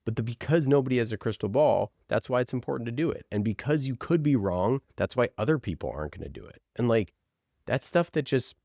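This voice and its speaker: A man's voice: mid-range voice, lively intonation, fast talker, vocal fry, mellow, no room sound, clean studio sound. A man speaking as a podcast producer and guest, answering conversationally and repeating phrases for emphasis.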